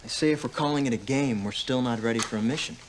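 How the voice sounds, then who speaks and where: A young actor playing an eager, cocky military recruit, somebody's little brother, in a video game cutscene. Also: male voice, mid-range voice, fast, a little hiss or hum, lightly acted. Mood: casual.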